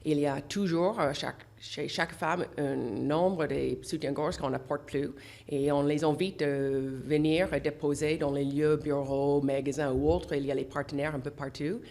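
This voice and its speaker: Low-voiced English-accented woman, halting. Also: steady tone, warm tone. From English-speaking country. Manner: halting, many fillers and restarts. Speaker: association founder, guest. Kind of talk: radio interview. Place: radio studio.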